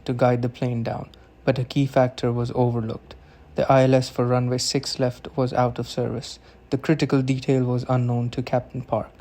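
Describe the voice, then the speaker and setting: A man's voice: medium pitch, darkish tone. A man reading scripted documentary narration as the narrator.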